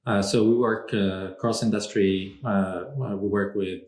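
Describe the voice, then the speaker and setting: A low-voiced man originally from Morocco answers interview questions in a measured, thoughtful way, pausing often with "uh". The recording has a roomy echo around him. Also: fairly even intonation, darkish tone. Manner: measured, thoughtful, frequent "uh" pauses. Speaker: podcast guest, strategy consultant. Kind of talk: conversational interview.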